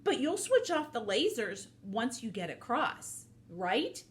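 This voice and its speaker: Storybook wolf played by a woman: male, trusting, earnest, loud and raised in pitch, swooping with feeling, faint noise behind. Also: very animated, takes it slowly. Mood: hopeful, earnest. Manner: eager, exclaiming, trusting. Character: earnest, encouraging, anxious male cartoonish wolf. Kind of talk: children's storybook character reading.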